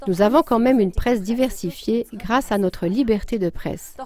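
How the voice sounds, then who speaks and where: A woman's voice: fairly high pitch, normal speed, a little mellow. A woman reading a scripted news commentary as a voice-over, in an earnest, emphatic tone.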